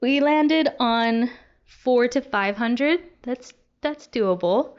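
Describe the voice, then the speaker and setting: A woman's voice: on the high side, takes it slowly, smooth, lo-fi sound. A young adult woman talking casually to viewers as a book vlogger. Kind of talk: casual chat to camera.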